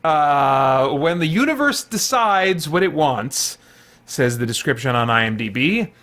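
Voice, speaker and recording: A man hosting a casual, unscripted movie review in an informal, rambling way with animated asides. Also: on the high side, a little slow.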